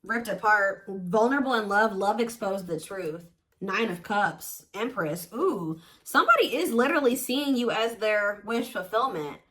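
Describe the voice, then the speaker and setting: A woman's voice: mid-range voice, lively intonation, measured pace, heavy background noise. A woman giving a casual, intuitive tarot reading to viewers, talking in an emphatic, conversational way and repeating herself for emphasis. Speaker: tarot reader, host.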